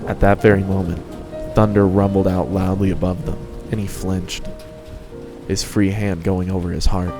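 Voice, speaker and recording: A man's voice: low voice, flat delivery, moderate pace, music in the background. A man reading scripted fan fiction narration as the storyteller.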